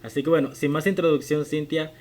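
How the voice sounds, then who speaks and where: A man in his late twenties hosting a podcast interview, asking measured questions with occasional restarts. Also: a little high, steady tone, a little mellow. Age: 20s. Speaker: podcast host. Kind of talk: conversational interview.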